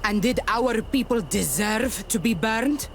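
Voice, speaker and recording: An actress playing a fierce, vengeful native warrior woman in a video game, blunt and deliberate. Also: a little high, lively intonation, soft background music. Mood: defiant.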